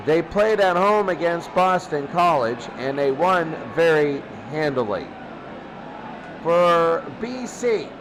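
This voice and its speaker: Male radio football play-by-play caller, high voice, measured pace, noisy recording. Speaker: play-by-play sports announcer. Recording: clearly noisy background throughout.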